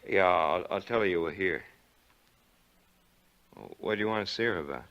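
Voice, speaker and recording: A man's voice: low voice, moderate pace. An actor playing a nervous, menacing man with a guilty secret, stammering and evasive, in 1940s radio suspense style. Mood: nervously coaxing. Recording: dull, band-limited 1940s radio recording.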